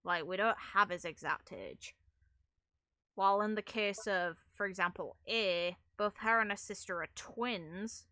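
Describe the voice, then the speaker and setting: A woman's voice: mid-range voice, measured pace, sharp tone, no echo, studio quality. A woman giving casual commentary on game lore, thinking aloud in a rambling, musing way. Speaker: gaming video creator and streamer. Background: music.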